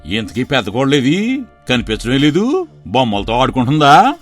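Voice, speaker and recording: A man voicing a scheming middle-aged uncle in an animated story, sly and wheedling. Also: mid-range voice, moderate pace, soft background music. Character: scheming middle-aged man plotting to seize his sister's estate.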